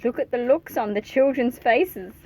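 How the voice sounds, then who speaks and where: A woman reading a children's picture book aloud in an expressive, playful way, speaking directly to her young listeners with chatty asides. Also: a little high, normal speed, low-quality recording.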